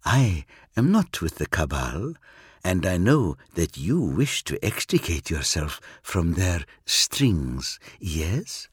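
A man voicing a hushed, cold alien seer. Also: low-pitched, very animated, slow, breathy edge, no room sound, studio quality, voice pushed down, exaggerated voice. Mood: cool, probing. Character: cold, cryptic male alien seer, calm and superior. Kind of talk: audiobook reading.

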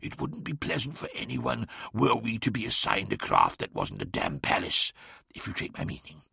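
A man's voice: fairly high pitch, very animated, a little slow, gravelly, sharp tone, husky, dry recording, clean studio sound, exaggerated voice. A man voicing a determined male servant in an audiobook, bluffing with formal, insistent speech. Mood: conspiratorial.

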